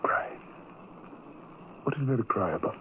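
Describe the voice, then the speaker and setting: A man's voice: unhurried, lightly acted. Through the narrow, faintly noisy sound of old radio comes a man acting a lovelorn, ugly outcast. He is anguished and full of self-loathing, delivering poetic lines in broken, theatrical phrases. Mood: sorrowful. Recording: muffled, noisy vintage radio recording.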